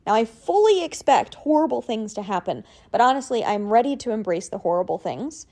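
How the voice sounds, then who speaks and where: A woman recording a casual listener voice message, giving opinions in a measured, informal, wry way. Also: on the high side, sing-song, a little mellow.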